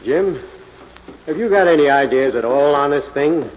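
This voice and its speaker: Male actor as emphatic police inspector: slightly high voice, lively inflection, muffled vintage radio drama. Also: normal speed, natural voice. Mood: determined. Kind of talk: 1940s radio acting. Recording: muffled old radio recording, faint hiss.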